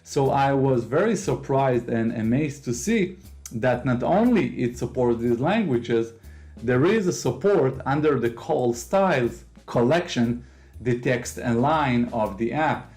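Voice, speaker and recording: A man from Israel whose first language is Hebrew, speaking English with an Israeli accent as he explains a software app step by step in a tutorial. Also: mid-range voice, lively intonation, measured pace. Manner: explains step by step, non-native phrasing, occasional fillers. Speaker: host of a software tutorial channel. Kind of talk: screen-recorded software walkthrough.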